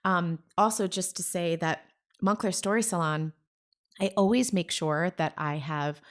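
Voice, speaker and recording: Gushing, animated woman in her forties. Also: on the low side, measured pace. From New Jersey. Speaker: interview guest, story salon founder. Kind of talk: casual conversation.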